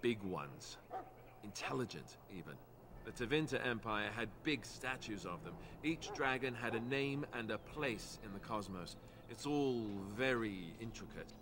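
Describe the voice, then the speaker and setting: A man's voice: medium pitch, expressive, a little slow, noisy background, lightly acted. A man playing a wry, good-natured young warrior in a fantasy video game, with understated, earnest delivery. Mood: solemn, storytelling. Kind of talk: video game dialogue acting.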